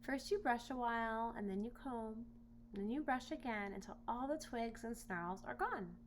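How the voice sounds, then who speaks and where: A woman playing a polite, quick-witted little boy in a children's storybook, earnest and coaxing as he explains. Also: on the high side, pitched above the performer's own voice, natural voice. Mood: eager, helpful. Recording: noisy home recording with hum.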